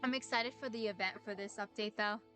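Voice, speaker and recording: A woman's voice: medium pitch, quick pace, sharp tone. A young woman chatting casually as a game streamer, talkative and scattering "like" through her sentences. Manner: chatty, uses "like" constantly. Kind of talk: casual gaming stream chat. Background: game music.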